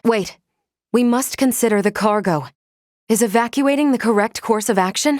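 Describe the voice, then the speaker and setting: An actress voicing a loyal, selfless female android crew officer in a video game, speaking in a measured, formal and clinical way. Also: mid-range voice, dry recording, clean studio sound, lightly acted. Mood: calm, dutiful.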